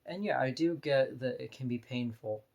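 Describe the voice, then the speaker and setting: A woman with a deep voice, talking bluntly and frankly in a candid personal vlog monologue, laughing now and then between points. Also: moderate pace, gravelly, darkish tone. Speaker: vlogger.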